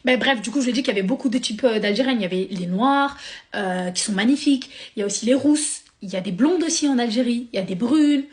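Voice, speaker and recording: A young woman from France telling a sarcastic, animated story straight to her viewers. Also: a little high, quick pace. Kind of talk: casual storytime monologue.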